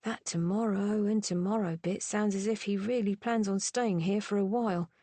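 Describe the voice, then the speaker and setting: A woman voicing a gawky, awkward young gentleman who chatters eagerly. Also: mid-range voice, steady tone, normal speed, no room sound, natural voice. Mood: chatty.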